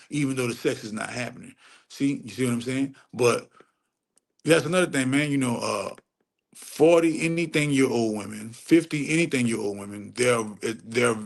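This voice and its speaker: A man's voice: medium pitch, gravelly. Profane preachy man ranting on a stream. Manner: preachy, profane, repeats phrases for emphasis. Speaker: livestream host answering chat. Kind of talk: casual unfiltered livestream rant.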